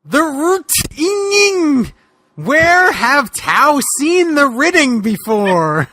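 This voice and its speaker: A man voicing an exasperated, despairing boogeyman monster wrestler, gravelly and melodramatic, in a comic fan-fiction reading. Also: very high voice, big pitch swings, drawn-out words, sharp tone, full character voice. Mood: frantic. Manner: melodramatic, pleading.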